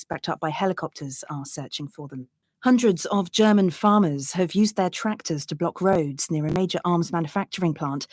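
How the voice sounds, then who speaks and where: A woman reads a scripted international news roundup with a formal, even delivery. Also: deep voice, dry recording. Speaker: news reporter.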